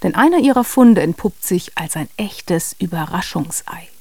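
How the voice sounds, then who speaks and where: A woman's voice: fairly low pitch, animated, normal speed, creaky, very noisy. A woman presenting and narrating a children's radio play in a scripted storytelling style, close on a studio mic.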